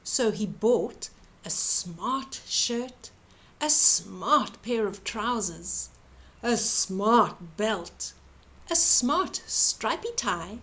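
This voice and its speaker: An older woman reading a children's bedtime story aloud in an expressive narrating style. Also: fairly high pitch.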